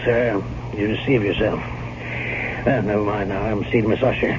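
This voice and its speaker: A man performing a blunt, grave physician in a vintage radio horror drama. He speaks low and formally, with emphatic, ominous pauses. Heavy noise clouds the dull old broadcast. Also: steady tone, lightly acted. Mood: clinical, grave. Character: grave, blunt physician warning of evil and madness.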